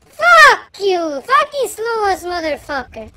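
Someone using a pitch-raising voice changer to play a bratty, boastful, foul-mouthed young boy trash-talking in an online game. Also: very animated, slow pace, full character voice. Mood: angry. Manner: whiny, swearing, shouty.

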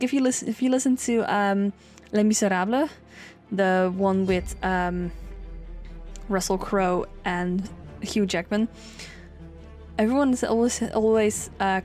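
A young woman chatting casually over a build, rambling with lots of fillers. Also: a little mellow. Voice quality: low singing voice. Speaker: sims speed builder.

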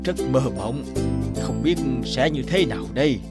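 A man acting a measured, wise old father. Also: mid-range voice, lively intonation, lightly acted. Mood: cautioning. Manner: measured, instructive. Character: wise, patient elderly father, gently advising. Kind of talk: cartoon dialogue and storytelling. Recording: background music under speech.

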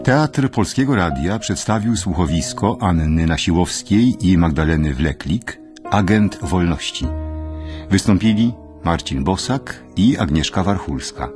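A man's voice: deep voice, animated, a little slow. A man reading scripted closing credits as a radio announcer. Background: music, guitar.

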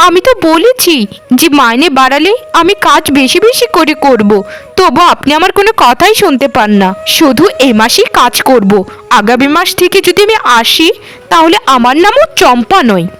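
An overworked young maid from a cartoon , voiced by a woman, always pleading her case. The voice is very high and lively in pitch, plaintive and full of protest. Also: normal speed. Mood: indignant pleading. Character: overworked, pleading young orphan housemaid girl. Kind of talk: Bengali cartoon dialogue.

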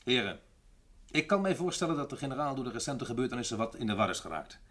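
An actor playing a cold, formal president in an old radio play, speaking sternly and officially. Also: male voice, mid-range voice, big pitch swings, quick pace, fairly bright tone. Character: cold, formal head of state passing judgment. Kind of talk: 1960s radio play acting.

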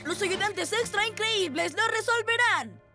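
A woman voicing an eager, heroic young boy rescue motorbike in a children's cartoon, earnest and energetic. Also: extremely high pitch, unhurried, loud music behind the voice, exaggerated voice. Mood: excited, heroic.

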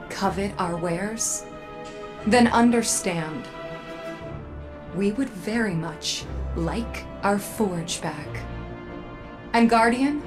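A woman voicing a stern, guarded android armorer in a video game, with formal, cold, measured speech. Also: mid-range voice, natural voice. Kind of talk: video game character dialogue. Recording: music under the voice.

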